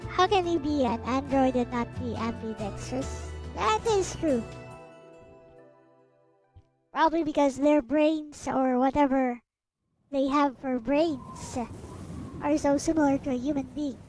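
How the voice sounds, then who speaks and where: A woman's voice: sits high, unhurried, pauses often, over music. A woman giving casual live commentary as she plays a game, thinking aloud and speculating in short fragments. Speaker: streamer playing a visual novel.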